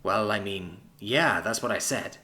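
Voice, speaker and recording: A man reading a story, voicing an awkward young man who speaks quietly and haltingly. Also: on the low side.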